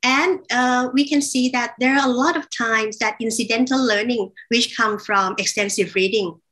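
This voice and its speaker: A woman from Thailand speaking as an associate professor on a webinar panel, halting and correcting herself often as she explains. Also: fairly high pitch, fairly bright tone. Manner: halting, with frequent fillers and self-corrections. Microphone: video call.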